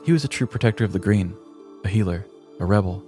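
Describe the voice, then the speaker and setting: Low-voiced man narrating dramatically. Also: fast, warm tone, faint music behind. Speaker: podcast host. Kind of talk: scripted comic true-crime narration.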